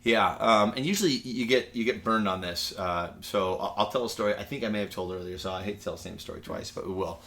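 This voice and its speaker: A man's voice: on the low side, fast talker, vocal fry, few pauses, bright, forceful tone. A man with an American accent giving a casual, digressive lecture in a conversational, anecdotal way, like a university teacher.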